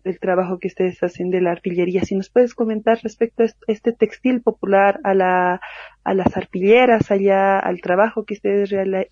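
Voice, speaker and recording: A woman's voice: medium pitch, no echo, lo-fi sound. A woman hosting an interview, searching for words with many fillers and restarts. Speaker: host.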